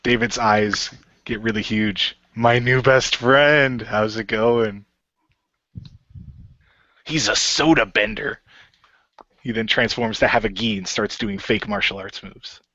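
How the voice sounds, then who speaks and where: A man playing an improvised role-play, goofy and excitable, bantering in character and narrating his actions. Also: fairly low pitch, slow, pauses often, dry recording, muffled recording.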